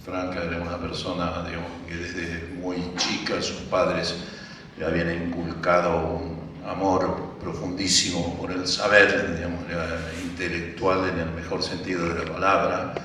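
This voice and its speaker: A man's voice: low voice, monotone, moderate pace, sharp tone, a little hiss or hum. A man giving a rambling public speech at a book presentation, hesitant, with many fillers and restarts. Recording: echoey hall, from far off.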